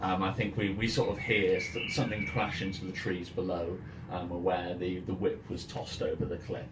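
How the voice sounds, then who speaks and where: A man's voice: deep voice, flat delivery, moderate pace. A man speaking informally as game master, hesitating and repeating words as he casually narrates a tabletop game among friends. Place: indoor room, in-person game session. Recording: roomy, distant mic, noisy background. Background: ambient music and noise.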